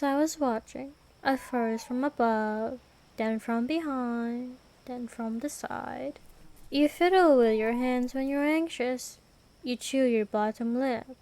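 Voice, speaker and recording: A woman acts out a female vampire queen in an intimate ASMR roleplay. Her voice sits high and moves very slowly, each phrase deliberate. She is imperious and teasing, a predator who is possessively protective. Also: darkish tone.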